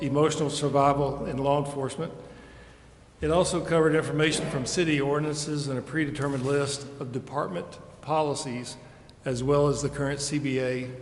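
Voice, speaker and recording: Police chief guy doing a formal ceremony speech, measured, really echoey room with noise. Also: medium pitch. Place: large indoor council chamber. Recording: echoey reverberant hall, clearly noisy.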